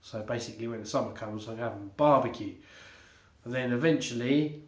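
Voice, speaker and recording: A man vlogging a casual home update, a little congested from illness, rambling with halting restarts and fillers. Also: mid-range voice, darkish tone. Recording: echoey room.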